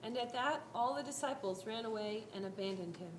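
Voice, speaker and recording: A woman's voice: fairly high pitch, brisk, over music. Woman narrator in an echoey room. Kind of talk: scripted dramatic Bible narration. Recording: roomy echo, faint background noise.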